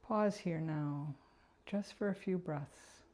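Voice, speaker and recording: A woman narrating a guided meditation in a gentle, unhurried, reflective way. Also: low voice.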